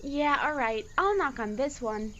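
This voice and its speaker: A woman's voice: high voice, animated, a little slow, sharp tone, muffled recording, slight background noise, full character voice. A woman playing an eager, loyal young monster boy in an audio drama, talking in earnest slang. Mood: casual, puzzled.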